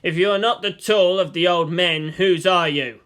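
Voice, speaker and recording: A man voicing a cold, menacing middle-aged commander and father in a story, contemptuous and deliberate as he threatens. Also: high voice, fairly bright tone. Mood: accusing.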